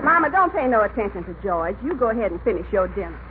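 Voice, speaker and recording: A woman's voice: mid-range voice, sing-song, fast, noisy background, close to an everyday voice. A woman playing a practical, unromantic middle-aged wife in old radio comedy style. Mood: dry, placating. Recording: tinny old radio with music.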